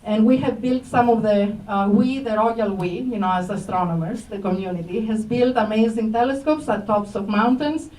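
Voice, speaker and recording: A woman's voice: mid-range voice, normal speed, few pauses, dark tone. A middle-aged woman with a Greek accent giving a friendly public science talk, asking the audience questions, with many fillers. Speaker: astronomy professor. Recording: echoey room, voice from far off mic.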